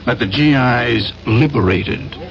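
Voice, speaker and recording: Self-assured, commanding schemer, gravelly and persuasive, 1970s radio drama, dull old recording. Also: male voice, medium pitch, slow. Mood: storytelling. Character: smooth-talking, commanding older schemer, war veteran importer.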